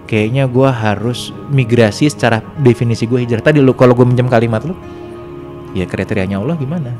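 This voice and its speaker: Fast-talking Jakarta man over music. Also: mid-range voice, a little mellow. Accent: Jakarta Indonesian. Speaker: podcast host sharing his own story. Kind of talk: casual conversational storytelling.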